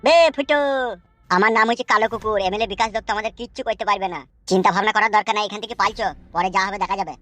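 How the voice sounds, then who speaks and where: A man voicing a crude, cocky yet nervous gangster henchman in a comedy cartoon, whining and excitable. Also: high-pitched, rapid-fire. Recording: dry sound with music behind.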